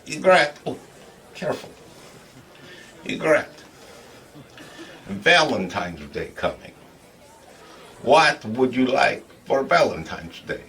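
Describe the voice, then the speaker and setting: Casting: jolly crude comic host, broken English, bright forceful voice, big pitch swings, slightly distant mic. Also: male voice, slow, gravelly, long pauses, heavily acted. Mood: animated storytelling. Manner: broken grammar, chuckling, animated storytelling. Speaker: comedy show host. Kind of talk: comic monologue in character.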